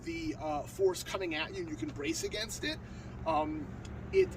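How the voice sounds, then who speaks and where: A man with a stuffy, blocked-up nose talks quickly and earnestly, with lots of fillers and restarts, in a fairly high voice. He is recorded outdoors on a lawn by a camera a few steps away. Plenty of outdoor noise sits behind him. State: stuffed up from a cold. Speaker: sword-fighting instructor. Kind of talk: casual outdoor tutorial. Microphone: far camera on a tripod. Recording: distant mic with outdoor noise.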